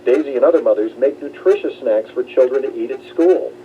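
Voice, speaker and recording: A man's voice: medium pitch, brisk, warm tone, slight background noise. A man reading a scripted charity narration, heard over a shortwave radio. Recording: tinny distant shortwave radio broadcast.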